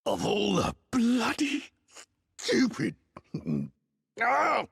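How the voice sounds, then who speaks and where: A man playing a rough, battered, angry fighter in a video game, his voice very raspy and gravelly, in long reverb. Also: extremely high pitch, animated, drawn-out words, fairly bright tone, full character voice. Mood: pained fury. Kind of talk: dramatic video game cutscene acting.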